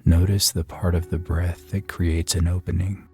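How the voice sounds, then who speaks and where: Very deep, mellow male meditation guide. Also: little pitch movement. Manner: soothing, unhurried, with long pauses. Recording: soft music behind the voice. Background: faint meditation music.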